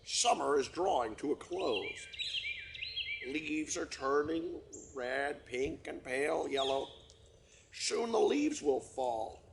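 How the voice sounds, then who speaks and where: A man's voice: fairly high pitch, expressive, slow pace, creaky, background noise, full character voice. A man voicing a pompous, long-winded father trumpeter swan who makes grand speeches to his children.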